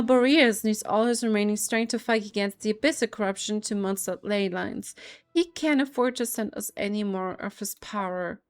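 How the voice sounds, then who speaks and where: High-voiced woman streaming a game. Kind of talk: casual playthrough commentary, reading dialogue aloud.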